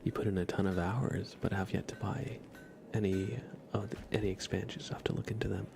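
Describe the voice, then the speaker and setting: Soft, low-pitched ASMR streamer man. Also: level tone, brisk, vocal fry, music in the background. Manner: soft-spoken, often dropping into a whisper. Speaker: livestream host. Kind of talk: relaxed ASMR gaming stream chat.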